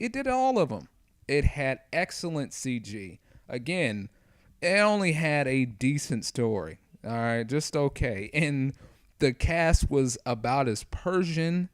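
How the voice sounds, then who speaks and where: A man's voice: a little high, dry recording. A man giving casual, opinionated review commentary in an informal, slangy, conversational way. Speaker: video creator giving commentary.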